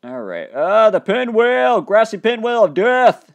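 A young man hosting a casual game commentary, thinking aloud and breaking into loud excited outbursts, his mouth dry and his voice getting tired. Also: high-pitched, unhurried, gravelly. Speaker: gaming channel host. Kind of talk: casual let's play commentary.

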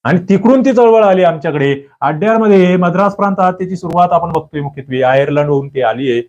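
A man's voice: a little high, expressive, fast talker, darkish tone, lo-fi sound. A man with a Marathi accent giving an online exam-prep quiz lecture, emphatic and repetitive, often putting questions to his listeners.

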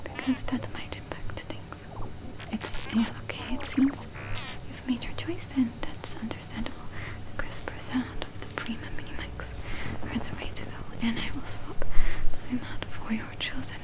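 A young woman performs an ASMR roleplay in a breathy whisper. Where her voice surfaces it sits high. She is gentle and attentive, checking in with the listener as she works close to binaural microphones. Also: steady tone, moderate pace, low-quality recording. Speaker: ASMR roleplay performer, robot repair technician. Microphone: close binaural microphones, moving left and right.

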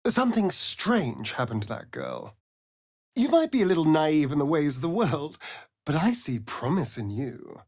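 A man's voice: on the high side, wildly swooping pitch, normal speed, a little mellow, dry recording, clean studio sound, full character voice. A male actor voicing a sly, vain vampire rogue in a fantasy video game, with an arch, flamboyant, sardonic drawl. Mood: wry, curious.

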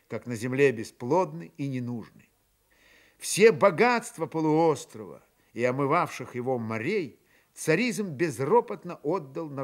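A man narrating a literary radio drama with expressive, theatrical phrasing. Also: fairly high pitch.

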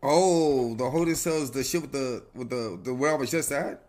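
A man's voice: mid-range voice, moderate pace, a little mellow. A man streaming a roleplay game, talking casually and evasively with slang and swearing.

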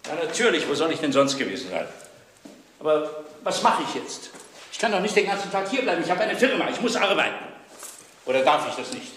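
A man's voice: on the high side, sing-song, brisk, a bit bright, a little hiss or hum. Genteel businessman, very echoey. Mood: uneasy. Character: wealthy, uneasy businessman bachelor. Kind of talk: German crime radio drama acting. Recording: very echoey old radio play.

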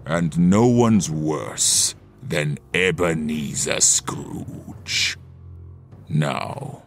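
A man's voice: rumbling low, animated, very slow, faint music behind, pitched below the performer's own voice, exaggerated voice. A man voicing a stern, solemn Christmas ghost in an audio storybook, with a grave, theatrical delivery.

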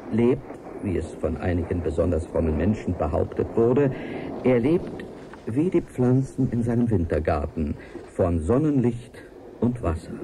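An actor playing an earnest, lonely scientist who confides in a formal, measured way in a radio-play audio letter. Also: male voice, medium pitch, noisy background, close to an everyday voice. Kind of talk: 1980s German radio play monologue.

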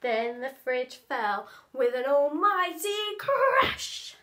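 Female children's story reader, thirties: very high, animated, unhurried and dramatic. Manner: expressive, dramatic, with stage-whispered asides. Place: small room at home, indoors.

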